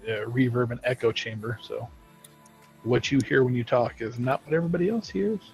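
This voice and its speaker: A man's voice: mid-range voice, brisk, creaky, low-quality recording. A man chats on a studio live stream, explaining his points at length in a casual way. Faint music plays underneath. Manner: talkative, explains at length with acted-out quotes. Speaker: game studio team member on stream.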